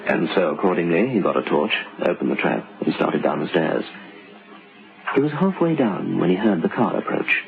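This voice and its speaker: An actor playing a grave male storyteller, narrating an old-time radio suspense tale with dramatic, deliberate phrasing. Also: sits low, sing-song, creaky, background noise, heavily acted. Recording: lo-fi old radio recording with music.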